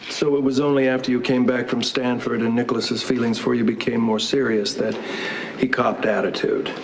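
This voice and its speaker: A man's voice: medium pitch, level tone, brisk, vocal fry, slight background noise, close to an everyday voice, low-quality recording. An actor playing a sardonic, showy man who runs a makeshift courtroom prosecution in a soap drama, with folksy sarcastic slang. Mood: probing, brisk. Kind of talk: television soap drama acting.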